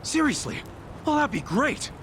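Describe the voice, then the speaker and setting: An actor playing a big-hearted, stubbornly optimistic ex-convict hero in a video game, with brash, slangy, earnest delivery. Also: male voice, very high voice, big pitch swings, normal speed, fairly bright tone, a little hiss or hum, full character voice. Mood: friendly. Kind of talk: dramatic video game voice acting.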